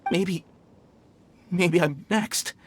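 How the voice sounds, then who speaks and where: An actor playing an anxious, frightened miner in a video game, stammering and pleading nervously. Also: male voice, high-pitched, very slow, slight background noise. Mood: fearful.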